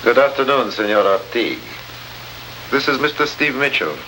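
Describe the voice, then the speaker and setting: An actor playing a courteous, formal Latin American government secretary in a 1950s radio drama. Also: male voice, mid-range voice, animated, moderate pace, sharp tone. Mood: politely guarded. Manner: formal, polite. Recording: very noisy old radio broadcast recording.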